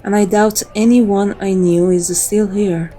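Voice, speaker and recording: Woman acting as vampire temptress, playful, coaxing, slow delivery, warm mellow tone, ASMR roleplay. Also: medium pitch, fairly even intonation, close to an everyday voice. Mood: soft, wistful. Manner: coaxing, intimate, teasing. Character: playful, seductive, teasing female vampire.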